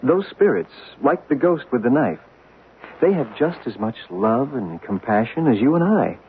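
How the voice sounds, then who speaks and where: A man's voice: medium pitch, big pitch swings, moderate pace. A man playing an earnest, patient male psychic assistant in a 1970s radio drama. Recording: narrow radio broadcast with faint hiss.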